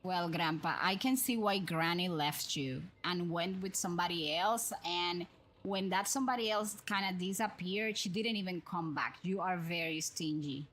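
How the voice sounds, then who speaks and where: A woman's voice: on the low side, moderate pace, fairly bright tone, slight background noise, poor audio. A woman hosting a livestream, thinking aloud in casual, chatty and rambling commentary as she plays a game. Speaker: livestream host. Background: background music.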